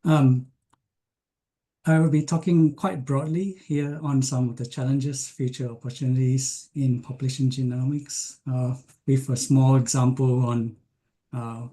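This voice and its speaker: A man from Malaysia giving an online science lecture, speaking with frequent ums, pauses and restarts. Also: medium pitch, warm tone. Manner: hesitant, many ums and restarts.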